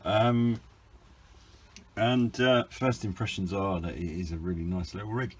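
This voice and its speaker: Deep-voiced British man, informal review. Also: steady tone, measured pace, slightly rough. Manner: hesitant, rambling, understated. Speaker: hobbyist product reviewer. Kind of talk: informal unboxing and review narration.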